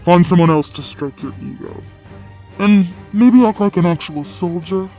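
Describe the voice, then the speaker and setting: A man voicing a proud, aloof dark warrior in a sci-fi comic dub, sardonic and sneering in his delivery. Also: high voice, normal speed, soft background music, lightly acted. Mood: mocking, contemptuous. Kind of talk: dramatic voice acting in a comic dub.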